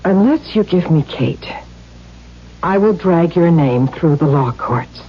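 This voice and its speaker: A woman's voice: fairly low pitch, expressive, slow pace, slight background noise. An actress playing a defiant, protective carnival woman in a radio drama, insistent and theatrical. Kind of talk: 1970s radio drama acting. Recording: dull lo-fi old radio.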